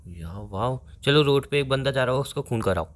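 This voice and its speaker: A man's voice: mid-range voice, animated, moderate pace, natural voice. A young man playing a demanding, teasing boyfriend who keeps badgering his girlfriend in a conversational phone-call skit. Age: young adult. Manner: insistent, badgering.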